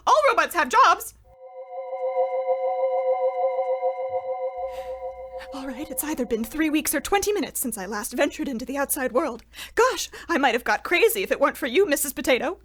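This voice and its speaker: High-pitched, unhinged shut-in lady. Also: lively intonation, quick pace, a bit bright. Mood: giddy, muttering. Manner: fretful, scatterbrained, talks to herself. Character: paranoid, unhinged shut-in woman talking to her potato. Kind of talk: old-time radio comedy acting.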